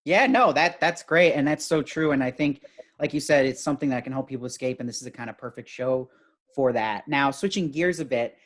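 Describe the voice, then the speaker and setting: Upbeat male interview host. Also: mid-range voice. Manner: upbeat, enthusiastic, quick with praise. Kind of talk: casual interview chat.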